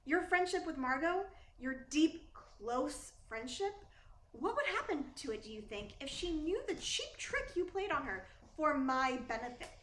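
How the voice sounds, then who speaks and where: A woman's voice: high-pitched, big pitch swings, a little slow, a bit bright, full character voice. A woman playing a young, falsely humble, manipulative actress in a theatrical radio play style. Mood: cool, menacing. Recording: roomy echo, faint noise.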